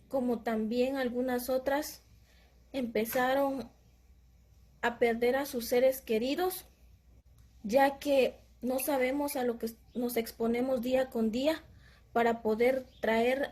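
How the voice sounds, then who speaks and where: A woman from Guatemala, a mother of two, gives a steady, somber testimony that sounds prepared. Her voice sits a little high. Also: slightly rough.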